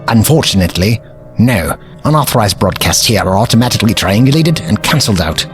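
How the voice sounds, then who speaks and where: An actor playing an eccentric, self-assured older time traveller in an audio drama, with pompous, precise, formal diction. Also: male voice, on the low side, lively intonation, brisk, faint music behind, close to an everyday voice. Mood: grave, explanatory.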